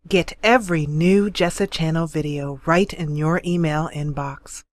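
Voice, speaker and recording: A woman's voice: deep voice, fairly even intonation, measured pace, dry recording, studio quality. A woman hosting a let's play, chatting and thinking aloud as she roleplays through a game. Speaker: gaming channel host.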